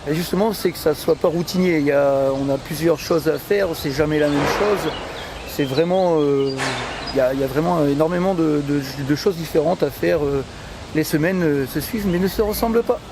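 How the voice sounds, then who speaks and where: Hesitant higher-pitched man, noisy background. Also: moderate pace. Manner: hesitant, repeats himself. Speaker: workshop technician being interviewed. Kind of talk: informal interview answers.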